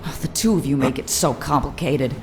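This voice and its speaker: An actress playing a nosy, teasing friend in a video game, playful and pushy. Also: female voice, very deep voice, fairly quick, faint music behind. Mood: teasing, exasperated. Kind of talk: video game cutscene acting.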